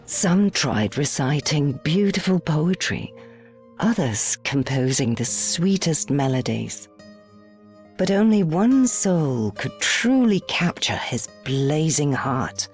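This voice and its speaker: Solemn game storyteller, low voice but very expressive, soft music under. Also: female voice, slow, heavily acted. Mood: reverent. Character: solemn female storyteller of a devout legend. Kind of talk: scripted storybook-like narration in a game.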